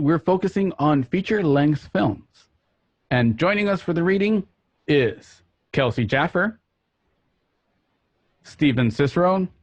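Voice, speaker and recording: A man's voice: mid-range voice, muffled recording. A man hosting a show, introducing it in an announcer-like way with casual asides, recorded at home. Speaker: host. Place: at home, remote ensemble session.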